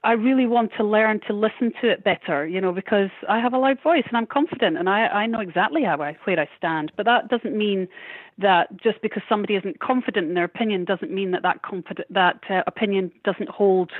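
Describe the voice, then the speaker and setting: A woman's voice: medium pitch, fairly quick, no echo. A woman in her fifties, a musician being interviewed, talking in a passionate, outspoken and emphatic way. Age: 50s. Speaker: musician guest. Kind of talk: candid interview conversation. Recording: tinny phone-line sound.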